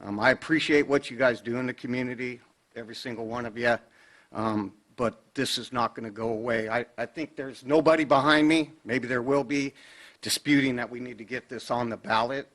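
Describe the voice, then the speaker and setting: A man's voice: mid-range voice, brisk, fairly bright tone. Man urging a board at a podium. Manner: plain and urging, with frequent fillers. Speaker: public commenter. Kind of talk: public comment at a county board meeting. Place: public meeting chamber. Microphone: podium microphone.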